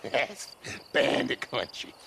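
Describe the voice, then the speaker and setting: A man's voice: mid-range voice, slow, creaky, heavy background noise. An actor playing a sly, mocking character in a western video game, chuckling and drawing out his words. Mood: taunting.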